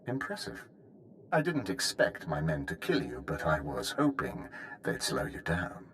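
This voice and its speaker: An actor playing a crazed, smug Imperial cult leader in a video game, taunting and grandiose. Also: male voice, low voice, wildly swooping pitch, measured pace, fairly bright tone, a little hiss or hum, heavily acted. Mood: smug menace. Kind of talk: dramatic video game voice acting.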